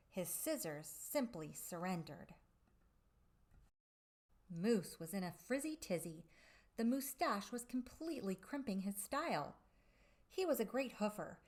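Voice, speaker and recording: A woman reading a children's picture book aloud expressively, with theatrical drawn-out emphasis and dramatic pauses. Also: medium pitch. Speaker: storybook reader for children.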